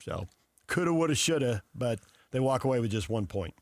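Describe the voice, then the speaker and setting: A man's voice: mid-range voice, lively intonation, fairly quick, no echo. A man with an American accent analysing sports conversationally as a radio host, explaining at length with emphasis. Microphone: close studio microphone.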